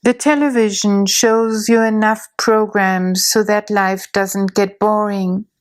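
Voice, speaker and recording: A woman narrating a guided imagery story in a slow, soothing way, with long pauses. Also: medium pitch, steady tone.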